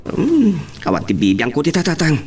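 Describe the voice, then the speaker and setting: Earnest young lover, lively swooping intonation, insistent, radio soap drama. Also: male voice, a little high, a little fast, slight background noise. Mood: defiant. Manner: emphatic, insistent. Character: earnest, stubborn young man in love with older woman.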